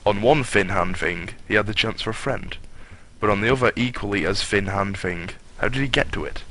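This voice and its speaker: A man's voice: on the low side, fairly quick, sharp tone, low-quality recording. A man narrating a comic story as a hammed-up dramatic reading, in a mock-grandiose manner with offhand asides.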